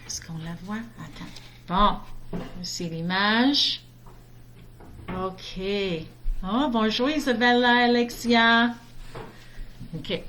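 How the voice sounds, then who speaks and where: A middle-aged woman reading a children's storybook aloud in an expressive way, with chatty asides. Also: on the high side. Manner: chatty, expressive, easily distracted by greetings. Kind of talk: animated children's storybook read-aloud with casual bilingual asides.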